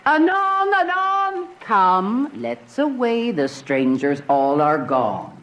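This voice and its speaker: Bustling, lilting old nurse. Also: female voice, fairly high pitch, sing-song, slow pace, a bit bright, full character voice. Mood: fussing. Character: talkative, fussing older nurse. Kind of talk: Shakespearean radio drama acting.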